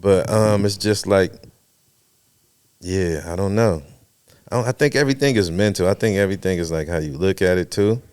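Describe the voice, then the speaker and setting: A man's voice: deep voice, normal speed, a little mellow, clear, resonant tone. A man in his fifties co-hosting a podcast from Los Angeles, chatting casually in laid-back slang and laughing often. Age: 50s. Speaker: podcast co-host. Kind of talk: casual chat.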